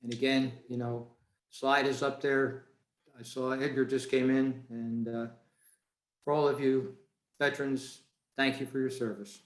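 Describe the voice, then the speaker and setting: Male presenter, informal and hesitant, very even intonation, roomy online-meeting sound. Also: medium pitch, measured pace. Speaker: club presenter. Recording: echoey video call.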